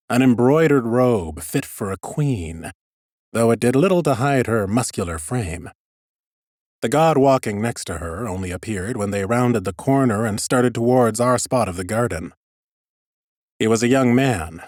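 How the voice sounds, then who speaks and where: A man's voice: on the low side, darkish tone, no echo. A man narrating scripted first-person fantasy audiobook prose with wry understatement, close on a studio mic. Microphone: close studio microphone.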